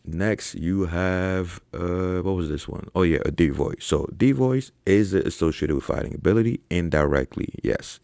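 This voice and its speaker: A young man with an extremely low voice full of heavy vocal fry ranks things to camera in loose, profane slang. He peppers his talk with fillers and "right?" tags. The sound is dry, with no room echo. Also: darkish tone. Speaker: online fitness coach. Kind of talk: casual ranking commentary to camera.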